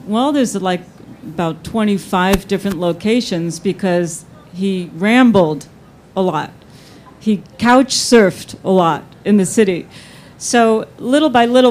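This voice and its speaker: Woman, 60s, Brooklyn accent, relaxed chatty panel talk, mid-pitched. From New York. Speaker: author on a panel. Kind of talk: casual panel talk, telling anecdotes.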